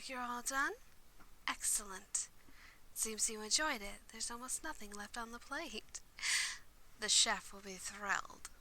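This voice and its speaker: A woman playing an excitable, devoted vampire lady's maid in a roleplay audio drama, speaking formally and chattily to the listener. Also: mid-range voice, a little hiss or hum, full character voice, rough recording. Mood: attentive, helpful. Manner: polite, formal, eager to please, chatty.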